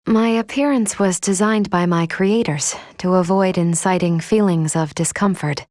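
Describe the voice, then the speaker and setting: A woman playing a polite, earnest female android in a video game, speaking in formal, precise, measured phrases. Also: on the low side, a little mellow, no echo, studio quality, lightly acted. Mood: modest, surprised.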